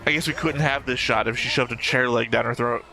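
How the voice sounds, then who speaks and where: A man's voice: medium pitch, steady tone, fairly quick, gravelly, low-quality recording. A man giving casual, wry and opinionated reaction commentary on a show. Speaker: anime reaction host.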